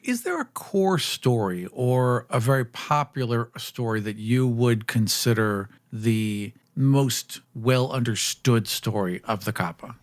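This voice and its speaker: A man's voice: medium pitch, lively intonation, takes it slowly, hardly any pauses. A man hosting a podcast, talking casually as he asks questions and muses along in discussion. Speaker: podcast host.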